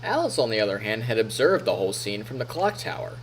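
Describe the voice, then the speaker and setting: A man's voice: lowish voice, sing-song, fast talker, a little hiss or hum. A male streamer reading a visual novel aloud expressively as narrator, on a close microphone. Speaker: live streamer. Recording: music faintly behind.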